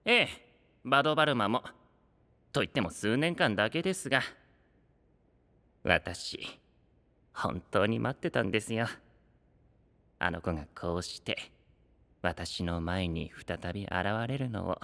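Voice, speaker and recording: Bright, theatrical, courteous knight. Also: male voice, lowish voice, expressive, measured pace, creaky, pauses often, in a large hall, full character voice. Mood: wistful. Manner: polite speech, playful and grandiose. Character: theatrical, teasing, courteous male knight storyteller. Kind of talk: Japanese anime audio drama acting.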